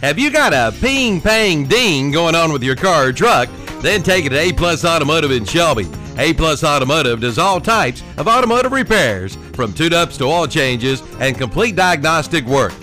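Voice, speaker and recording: A man's voice: a little high, animated, moderate pace, sharp tone. A man reading scripted local radio advertisements as an announcer. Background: music bed.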